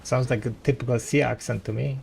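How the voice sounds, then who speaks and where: A man whose first language is Russian, with a light Russian accent, chatting with viewers as a game streamer and trailing off in halting restarts. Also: medium pitch, steady tone, vocal fry, a little mellow. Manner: rambling, halting, many restarts. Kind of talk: casual chat with viewers.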